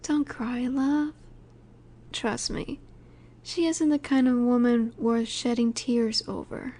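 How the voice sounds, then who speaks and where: Soft, mellow, high-voiced female mafia boss acted by a woman. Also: little pitch movement. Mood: gentle, consoling. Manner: soft, unhurried, soothing yet in command. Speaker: audio roleplay voice actor. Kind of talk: intimate scripted audio roleplay, addressed to listener.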